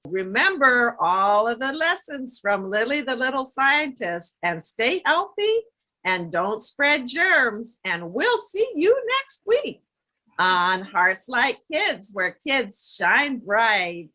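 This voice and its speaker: Female kids' show host, high bright voice, unhurried, addressing young children. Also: sing-song, low-quality recording.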